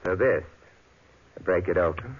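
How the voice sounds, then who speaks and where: An actor playing a suave, unflappable amateur sleuth in a 1950s radio drama, dry and teasing. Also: male voice, sits low, lively intonation, a little slow, husky, exaggerated voice. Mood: sardonic. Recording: muffled vintage radio broadcast recording.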